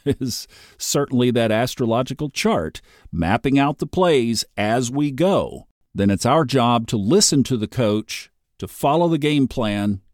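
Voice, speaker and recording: A man's voice: mid-range voice, a little mellow, dry recording, studio quality. A man in his fifties hosting a daily astrology podcast, chatty and upbeat, laughing easily. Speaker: podcast host.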